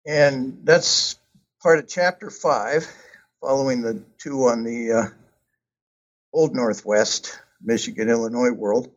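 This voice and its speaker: A man talking about his book in a casual, hesitant way, with many ums and uhs and frequent pauses. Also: mid-range voice. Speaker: author discussing his book. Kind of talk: casual conversational talk. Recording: dull lo-fi sound.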